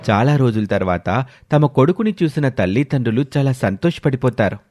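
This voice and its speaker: A man's voice: medium pitch, animated, fairly quick, darkish tone. A man narrating a scripted story as a storyteller. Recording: music behind the voice. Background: background music.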